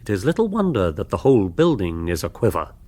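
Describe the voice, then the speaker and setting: An actor as the urbane storyteller of a radio social comedy, narrating with wry relish. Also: male voice, lowish voice, big pitch swings, fast, warm tone. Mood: mock-grave. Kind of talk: scripted radio drama narration.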